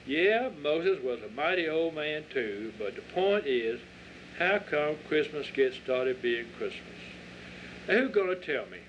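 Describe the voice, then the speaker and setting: A man's voice: fairly high pitch, animated, unhurried. A man voicing a folksy, patient rural Southern reverend who questions children like a preacher, in a dramatic story reading. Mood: genial, questioning. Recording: dull lo-fi audio with faint noise.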